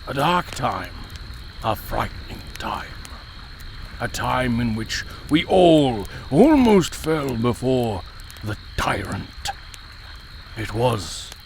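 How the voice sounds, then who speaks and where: A man playing a solemn elder storyteller of an otherworldly people, narrating gravely with dramatic pauses and hushed phrase endings. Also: mid-range voice. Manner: slow, grave, pausing, hushed at phrase ends.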